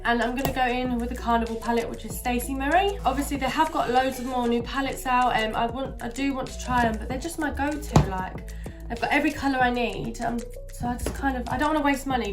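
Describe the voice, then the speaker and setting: A woman with a stuffy, nasal voice from a cold, chatting casually through a makeup tutorial with lots of fillers. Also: on the high side. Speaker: beauty vlogger.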